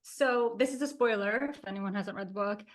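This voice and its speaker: A woman's voice: medium pitch, normal speed. A woman in a casual book club discussion, talking earnestly and emotionally about a book that moved her.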